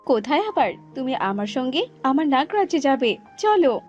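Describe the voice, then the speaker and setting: Woman voicing an emphatic, high-pitched female serpent. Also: very animated, normal speed, darkish tone, dull audio, faint music behind. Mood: grateful, caring. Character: desperate but grateful pregnant female serpent. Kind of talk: cartoon fairy-tale acting.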